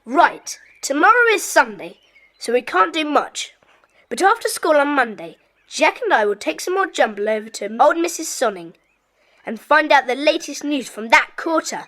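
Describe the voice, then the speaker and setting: A woman playing a decisive, bossy schoolboy who leads child detectives in a children's audio drama, brisk and commanding. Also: high voice, very animated, bright, forceful tone, dry recording, heavily acted.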